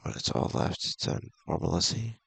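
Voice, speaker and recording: A man with a gravelly, husky voice casually reading a visual novel aloud, halting and mumbling with offhand asides. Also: a bit bright, dry recording. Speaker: video game streamer.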